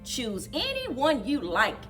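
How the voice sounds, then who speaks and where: A woman voicing friendly green frogs from a children's storybook, in an expressive, inviting manner. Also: sits high, takes it slowly, distant mic, soft background music, heavily acted.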